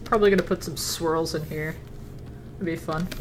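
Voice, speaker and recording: A young woman with a low voice walks viewers through her painting in a loose, rambling way. The recording carries a steady wash of background noise, with her speech repeating over itself. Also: moderate pace. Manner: casual, thinking aloud while painting. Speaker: digital painting instructor. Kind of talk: live-stream painting tutorial.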